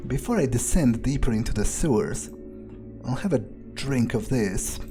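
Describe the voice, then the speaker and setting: A man narrating a video game playthrough in character, thinking aloud in a musing, halting way. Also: mid-range voice, animated, mellow, faint music behind.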